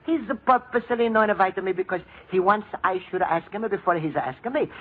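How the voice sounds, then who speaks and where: A man's voice: high-pitched, brisk. A man playing a timid, good-hearted Italian immigrant in old radio comedy, hesitant and stammering in broken English with an Italian accent. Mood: earnest. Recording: tinny, hissy old radio recording.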